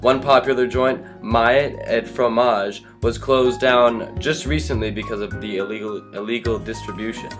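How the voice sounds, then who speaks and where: A man's voice: medium pitch, fairly even intonation, normal speed, hardly any pauses, over music, close to an everyday voice. A man playing an earnest 1920s radio news anchor reading scripted news.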